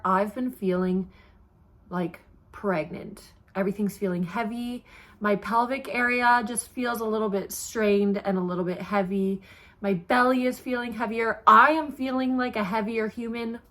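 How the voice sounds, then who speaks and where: Young woman vlogger, stuffy-nosed, easygoing chatter full of fillers. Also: mid-range voice. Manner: chatty, relaxed, many ums and likes. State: congested, stuffy nose. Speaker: pregnancy vlogger. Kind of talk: casual talk to camera.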